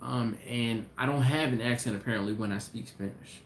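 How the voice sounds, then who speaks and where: A man's voice: mid-range voice, brisk. Man, live-stream host, loose conversational delivery with restarts, echoey room with faint background noise. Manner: rambling, talks to viewers directly, restarts often. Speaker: barbecue channel host. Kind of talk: casual live-stream chat, reading viewer comments. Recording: roomy echo, faint ambient noise.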